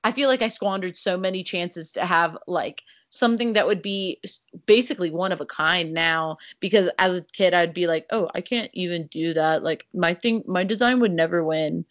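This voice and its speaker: A woman's voice: on the low side, normal speed, hardly any pauses, fairly bright tone, dry recording, highs cut off. A young woman co-hosting a podcast, chatting casually with a rambling, self-deprecating manner and frequent laughter. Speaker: podcast co-host. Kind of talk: casual chat.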